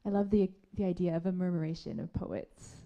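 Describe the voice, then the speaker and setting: A woman hosting a festival reading, chatty and quick to laugh as she introduces the next poet on a theatre stage. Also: on the low side, very animated, creaky, mellow, highs cut off. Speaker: festival host introducing readers. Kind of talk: live event introduction.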